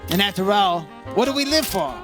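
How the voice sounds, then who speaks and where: Radio drama lead: wry freelance investigator, played by a man, high male voice, dry wisecracks, music underneath. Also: animated, brisk. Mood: dry, narrating. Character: wry, breezy male insurance investigator, hard-boiled but kindly. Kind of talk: 1950s-style radio detective acting and narration.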